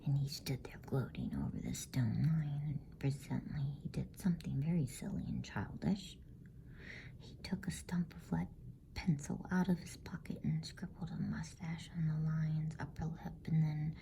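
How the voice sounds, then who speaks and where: A woman reading a bedtime story as ASMR in a hushed, unhurried whisper. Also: low-pitched, gravelly, low-quality recording.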